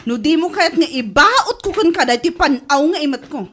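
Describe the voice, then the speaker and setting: A woman plays a nagging, gossipy wife in an Ilocano radio comedy. Her voice is high and swings widely in pitch, with an Ilocano Filipino accent and an exasperated edge. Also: animated, moderate pace, fairly bright tone. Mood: scolding.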